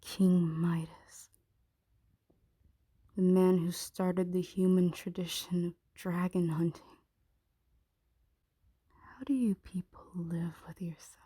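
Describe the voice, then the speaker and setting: A woman playing a menacing, possessive dragon shifter queen in an ASMR roleplay, commanding and often slipping into breathy whispers. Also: on the low side, steady tone, takes it slowly, pauses often, warm tone, no room sound. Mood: cold menace.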